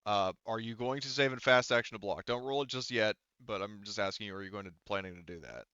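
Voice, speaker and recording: A man's voice: fairly low pitch, fast talker, pushed and bright, clean tone, dry recording. A man acting as game master in casual tabletop play, informally thinking aloud as he works through rules on a slightly dull, dry microphone.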